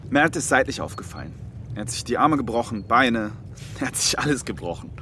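A man's voice: mid-range voice, expressive, brisk, sharp tone, a little hiss or hum. An actor playing a frank, loyal, bookish male friend with a dry manner in a radio drama. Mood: recounting, wry. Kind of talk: radio drama dialogue and narration.

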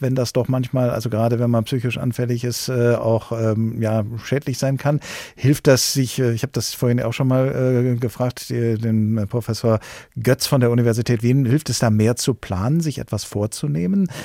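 Dark, dry-voiced radio host. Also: male voice, medium pitch, creaky, no echo. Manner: hesitant questions with many fillers. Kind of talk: radio talk show moderation.

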